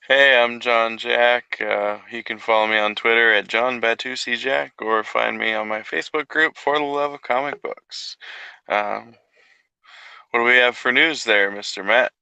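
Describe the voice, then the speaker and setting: A man co-hosting a podcast, chatting in a relaxed, laid-back way. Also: mid-range voice, normal speed, creaky, clean tone, no room sound. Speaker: podcast co-host. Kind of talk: casual podcast chat. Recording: dull, dry, lo-fi mic.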